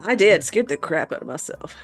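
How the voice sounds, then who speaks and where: A woman co-hosting a show in casual chat, speaking in an informal, expressive way. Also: fairly low pitch, brisk, husky, soft background music. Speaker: podcast co-host.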